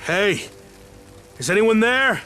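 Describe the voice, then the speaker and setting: A man's voice: sits high, animated, slow pace, sharp tone, soft background music, full character voice. A man playing a stern, proud soldier in a video game, speaking tersely and formally. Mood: alarmed, demanding. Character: stern, proud soldier of the sun, curt hero. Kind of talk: serious video game acting.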